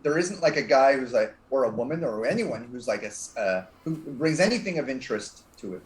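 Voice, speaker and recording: A man chatting casually as a guest on a talk show, with lively intonation. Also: mid-range voice, a little fast. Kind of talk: casual chat. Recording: roomy with faint noise.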